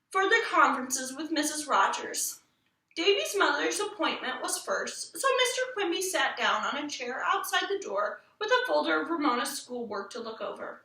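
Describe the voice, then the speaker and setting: A woman reading a children's book aloud in an expressive storytelling style. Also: high voice. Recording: roomy echo, distant from mic.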